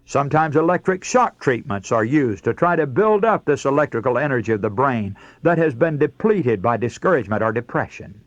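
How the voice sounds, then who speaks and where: Animated male radio preacher. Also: medium pitch, fairly quick, few pauses, no room sound, highs cut off. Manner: earnest, rhetorical, emphatic oratory. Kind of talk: radio sermon, scripted exposition with direct address to listeners.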